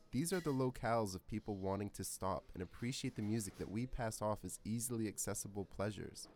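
A man's voice: fairly low pitch, a little mellow. A man hosting a podcast, reading scripted narration in an earnest, literary way. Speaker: podcast host.

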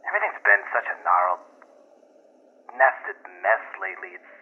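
A flailing young boyfriend leaves a muffled phone message. His voice is husky and earnest, rambling in halting, remorseful phrases. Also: sits low, big pitch swings, exaggerated voice. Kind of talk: found-footage audio drama acting.

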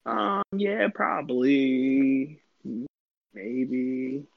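A man's voice: mid-range voice, animated, extremely slow, gravelly, dry recording, narrow, tinny audio. A man co-hosting a podcast in casual, profane banter, using a lot of bro-style slang. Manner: slangy, says "bro" often. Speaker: podcast co-host.

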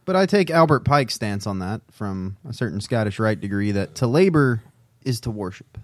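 A man chatting casually as a podcast co-host, with a dry, deadpan and learned wit. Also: fairly low pitch, moderate pace, no echo. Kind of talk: casual chat.

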